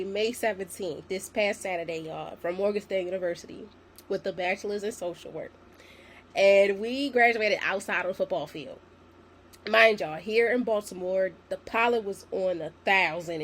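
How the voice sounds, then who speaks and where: A young woman in her twenties hosting a solo podcast, slightly raspy from a sinus infection, chatty and encouraging as she talks to her listeners. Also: medium pitch, a little slow, fairly bright tone, a little hiss or hum. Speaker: podcast host. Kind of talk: casual solo podcast talk.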